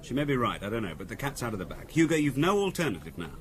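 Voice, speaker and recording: A man playing a sociable, conciliatory English family friend in a 1970s radio drama, smoothing things over and coaxing. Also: medium pitch, very animated, rapid-fire, natural voice. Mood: urging.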